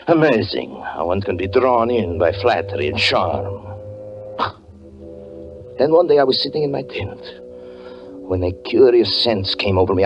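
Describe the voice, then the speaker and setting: An actor playing a tormented, jealous married stage magician, narrating his downfall in theatrical 1940s radio style. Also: deep voice. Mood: ominous. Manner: brooding, theatrical, melodramatic. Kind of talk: 1940s radio acting, dramatic first-person narration. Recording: muffled 1940s radio recording with music.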